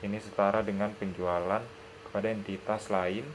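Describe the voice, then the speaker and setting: A man's voice: fairly low pitch, flat delivery, normal speed, a little hiss or hum, low-quality recording. A young man reading out a lesson presentation as a student. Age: young adult.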